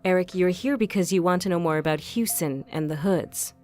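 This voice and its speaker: A woman's voice: on the low side, fairly quick, a little mellow, faint music behind, natural voice. A woman playing a composed, hospitable covert vigilante in an audio crime drama.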